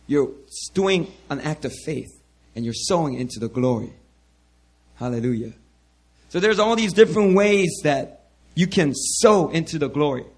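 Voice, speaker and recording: Hoarse male pastor, sermon, handheld mic, fairly high voice, keeps saying hallelujah. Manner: repeats hallelujah, calls out to the congregation. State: hoarse, worn voice.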